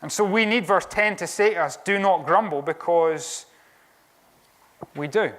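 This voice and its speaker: A Scottish man preaching a sermon in an animated, conversational way, with wry asides. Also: high-pitched, measured pace. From Scotland.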